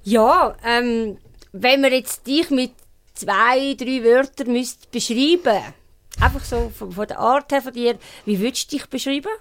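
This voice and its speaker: A woman's voice: fairly high pitch, unhurried, no room sound. A woman with a Swiss German accent hosting a conversational interview. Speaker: radio interview host.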